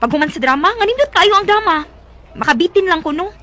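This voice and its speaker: A woman's voice: extremely high pitch, lively intonation, fast talker, sharp tone, exaggerated voice. An actress playing a gossipy, teasing young female friend in a Cebuano Bisaya radio drama. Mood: lively, teasing. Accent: Cebuano Bisaya, Philippines.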